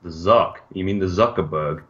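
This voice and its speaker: Deep-voiced young man, West Midlands accent. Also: moderate pace, fairly bright tone. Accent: West Midlands English. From West Midlands, England. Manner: laid-back, wry, reads chat aloud. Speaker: streamer. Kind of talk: casual livestream chat. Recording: dull lo-fi sound.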